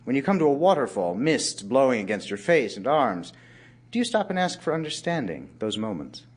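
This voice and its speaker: An actor playing a grave male doctor, speaking in a blunt, halting, plain-spoken way. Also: mid-range voice, very animated, slightly rough. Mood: earnest.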